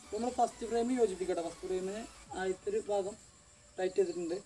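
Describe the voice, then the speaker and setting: A man's voice: high-pitched, racing, mellow, soft background music, poor audio. A young man filming himself outdoors in a yard, explaining step by step how he assembles a home workout bench. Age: young adult. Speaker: fitness vlogger. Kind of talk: casual how-to commentary. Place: outdoor garden yard among banana trees. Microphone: camera on tripod at a distance.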